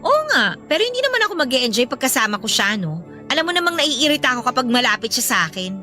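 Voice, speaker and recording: A woman playing a young student, a dutiful but resentful older sister, expressive and conversational in a Filipino radio drama. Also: high-pitched, fast, soft background music, full character voice. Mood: irritated.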